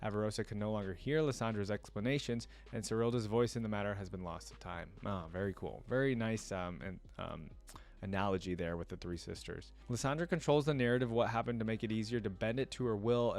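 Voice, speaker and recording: A man's voice: on the low side, a little fast, a little mellow. A man reacting casually to game lore as a video creator, reading passages aloud and adding musing, think-aloud asides.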